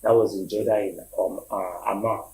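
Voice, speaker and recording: A man's voice: lowish voice, little pitch movement, a little fast, mellow, poor audio. A man from Lagos with a Nigerian accent, talking casually as an interview guest, repeating himself and checking that he is understood.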